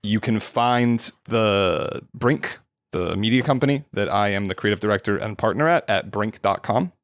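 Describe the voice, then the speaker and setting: Low-voiced male podcast guest. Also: normal speed, no room sound. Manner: relaxed, searching for words with restarts. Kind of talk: casual post-game chat.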